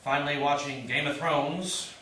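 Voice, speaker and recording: An actor playing a sardonic, self-deprecating washed-up musician son of about thirty in a staged play reading, dry, mocking and profane. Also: male voice, fairly high pitch, steady tone, normal speed, pushed and bright. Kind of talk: staged reading, naturalistic dialogue. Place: indoor staged reading, recorded from afar. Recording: echoey room, distant mic, faint noise.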